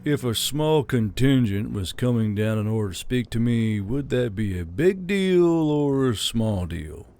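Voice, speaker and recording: A man voicing a gruff, weary male military commander who grumbles dryly. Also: mid-range voice, expressive, a little slow, few pauses, mellow.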